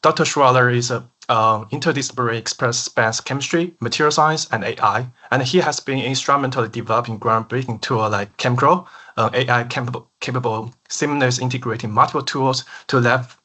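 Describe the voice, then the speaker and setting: PhD student host, bright voice, reads out a formal introduction with frequent stumbles and restarts. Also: male voice, mid-range voice, a little fast. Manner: stumbling over words, restarts. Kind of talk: read introduction.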